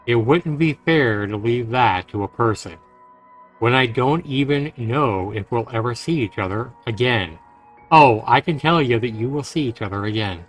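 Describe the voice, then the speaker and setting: A man's voice: medium pitch, a bit bright. A man hosting a game livestream, reading the story text aloud and adding earnest, casual commentary straight to his listeners. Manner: earnest, talking directly to listeners. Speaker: livestream host playing a visual novel. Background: faint game music.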